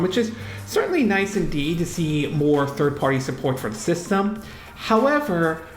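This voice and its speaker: A man hosting a solo gaming news commentary, rambling with lots of fillers, restarts and trailing "and all". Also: a little high. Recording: echoey room.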